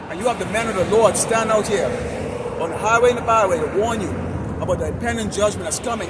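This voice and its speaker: High-voiced American street preacher, man berating passers-by. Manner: berating, profane, repeats "okay?" as a tag. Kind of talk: confrontational street sermon with scripture reading. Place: outdoors by a city roadside. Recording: very noisy outdoor street with traffic.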